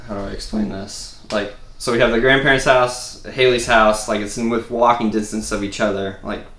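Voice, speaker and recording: A man's voice: on the low side, steady tone, normal speed, bright, forceful tone. A man casually explaining a scene as presenter of a table read, in a hesitant, rambling way. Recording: roomy echo with background noise.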